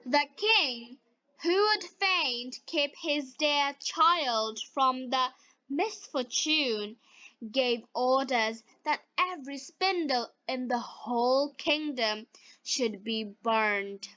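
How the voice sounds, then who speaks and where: Very slow, high-pitched woman storyteller. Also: sharp tone, no room sound, highs cut off. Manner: deliberate phrasing in short separated chunks. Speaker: storyteller for English learners. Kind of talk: scripted fairy-tale narration for beginners.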